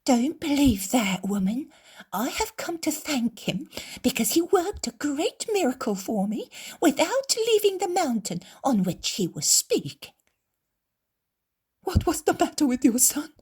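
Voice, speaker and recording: A woman's voice: high-pitched, sing-song, measured pace, a little mellow, voice pushed up. A woman voicing a grateful woman who comforts another. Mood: consoling. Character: grateful woman whose husband was healed, consoling.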